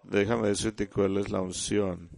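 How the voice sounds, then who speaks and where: Low gravelly man preaching. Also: level tone, a little mellow, no room sound. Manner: halting, repeats and restarts words; addresses listeners as "usted". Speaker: church speaker. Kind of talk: sermon.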